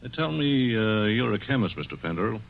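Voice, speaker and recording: A shrewd, lively police inspector. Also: male voice, on the low side, vocal fry. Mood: firm. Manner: measured, methodical questioning. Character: shrewd male police inspector, fatherly and firm. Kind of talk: 1940s radio acting. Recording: muffled 1940s radio broadcast with faint hiss.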